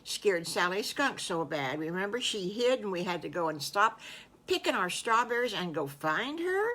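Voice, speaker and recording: A woman voicing a patient, proud mother pig in a children's story, reassuring, motherly and gently chiding. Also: mid-range voice, very animated, normal speed.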